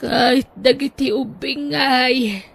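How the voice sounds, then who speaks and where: A woman's voice: high-pitched, takes it slowly, creaky, fairly bright tone, breathy edge, heavily acted. A woman voicing a weary older mother in an audio drama, sighing as she talks.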